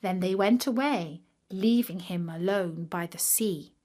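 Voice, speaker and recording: A woman narrating a scripted fairy tale for children in a careful, expressive manner. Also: lowish voice, unhurried. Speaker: storyteller.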